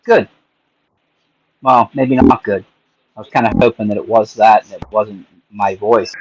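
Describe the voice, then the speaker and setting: A man's voice: fairly low pitch, steady tone, measured pace, background noise. A man hosting a casual livestream, joking and chatting to himself while he picks locks. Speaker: livestream host. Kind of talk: casual chat while lockpicking.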